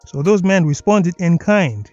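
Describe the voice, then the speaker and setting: A South African man picks apart clips in a mocking, sardonic tone. His voice sits a bit high for a man. The recording is dead dry and slightly dull, with faint music under it. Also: animated, unhurried, mellow, no room sound. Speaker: commentary video host. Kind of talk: sarcastic reaction commentary.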